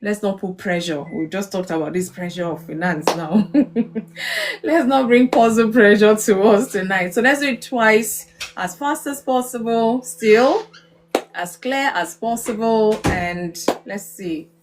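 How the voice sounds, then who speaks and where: A woman's voice: medium pitch, lively intonation, a little slow, noisy background. A woman with a Nigerian accent hosting a casual live group chat in a playful, coaxing, encouraging way. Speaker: live stream host.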